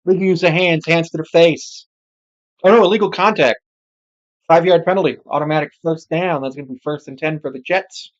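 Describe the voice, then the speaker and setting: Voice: medium pitch, fairly even intonation, brisk. Casual football livestream commentator, dull lo-fi audio. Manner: muttering asides and self-corrections. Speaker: amateur livestream play-by-play commentator. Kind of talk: casual live football play-by-play and reaction.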